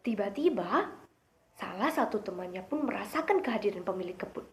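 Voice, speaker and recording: A young woman telling a children's fable in an expressive storytelling style. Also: mid-range voice, fairly quick.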